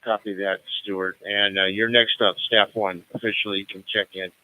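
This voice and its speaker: A man's voice: fairly low pitch, little pitch movement, moderate pace, phone-quality sound. An American man acting as net control, running a radio roll call in a formal, procedural manner.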